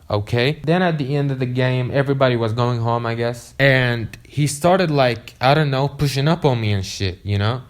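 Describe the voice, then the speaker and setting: A young man whose first language is Arabic rambles through a casual storytime. His voice sits in a middle pitch, and he keeps dropping "you know" and swearing as he talks. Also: a little mellow. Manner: rambling, profane, many "you know" fillers. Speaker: vlogger.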